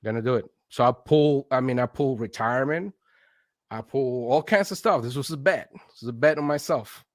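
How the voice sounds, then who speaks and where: A man's voice: medium pitch, normal speed, no room sound. Guy late 40s, spanish speaker, chatty storytelling, quotes his own thoughts, keeps checking in, dry sound no room. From Venezuela. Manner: animated self-quoting, often checks in with "right?". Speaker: interview guest, consultant in instructional design. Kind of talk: conversational personal storytelling.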